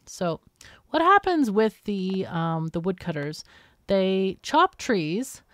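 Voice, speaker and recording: A woman's voice: mid-range voice, big pitch swings, slow pace, no echo, studio quality. A woman hosting a gaming video with casual let's-play commentary, explaining and thinking aloud as she plays.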